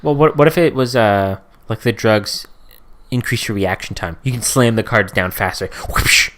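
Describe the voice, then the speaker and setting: A man's voice: lowish voice, moderate pace, a bit bright. A man co-hosting a podcast in casual comic chat, rambling and slangy as he jokes around. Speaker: podcast co-host.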